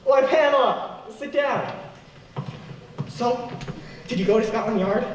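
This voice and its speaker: A man's voice: very high-pitched, unhurried. A man acting a harried, sardonic fugitive wrongly accused of murder in a radio play, sounding exasperated. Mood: bewildered. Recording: echoey hall, distant mic, noisy.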